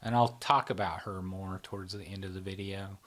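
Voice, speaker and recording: A man who founded a channel, explaining in a relaxed casual conversation, with many fillers and restarts. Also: deep voice, fairly quick.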